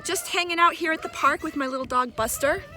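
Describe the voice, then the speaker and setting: A woman's voice: high-pitched, a little fast, bright, forceful tone. A middle-aged woman speaking as an encouraging teacher in a casual video message to students, recorded outdoors in a park. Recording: outdoor park ambience noise.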